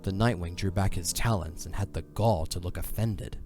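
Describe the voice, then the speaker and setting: A man narrating a fantasy audio drama in a solemn, measured, dramatic storytelling style. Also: low voice, a little mellow, faint music behind.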